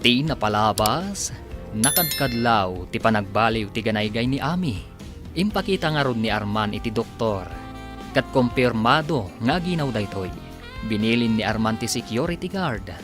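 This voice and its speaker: A man with an Ilocano accent narrating a radio drama as its announcer, in theatrical, drawn-out scripted phrasing. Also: mid-range voice. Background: music behind the voice.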